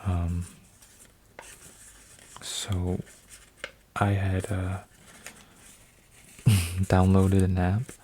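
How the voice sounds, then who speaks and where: A man in his twenties, an ASMR creator, rambling softly with lots of fillers and restarts while folding paper, sometimes dropping to a whisper. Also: sits low, completely flat delivery, drawn-out words, pauses often, a little hiss or hum. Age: 20s. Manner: meandering, full of fillers and restarts. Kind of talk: soft-spoken rambling ASMR.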